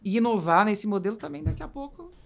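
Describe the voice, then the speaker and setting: A woman's voice: fairly high pitch, animated, quick pace, creaky. A woman with a Brazilian accent hosting a casual business conversation, informal and ready with her own opinions. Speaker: podcast host.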